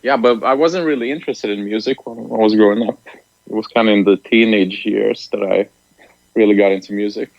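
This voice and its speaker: A man in his late twenties from Lithuania, a musician guest talking in a relaxed, soft-spoken way and laughing often. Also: on the low side, brisk, vocal fry, dry recording, lo-fi sound. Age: late 20s. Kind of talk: casual interview over the phone.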